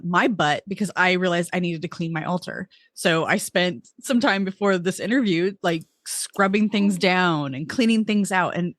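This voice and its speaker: A woman's voice: lowish voice, dry recording. A woman hosting a podcast interview, thinking aloud in a reflective way with some restarts. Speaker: podcast host.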